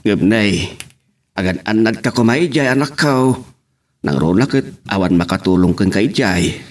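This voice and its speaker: Male actor as concerned elderly dad, radio drama; lowish voice, tender and protective. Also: animated, unhurried. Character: caring, concerned elderly father. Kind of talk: amateur radio-style drama acting.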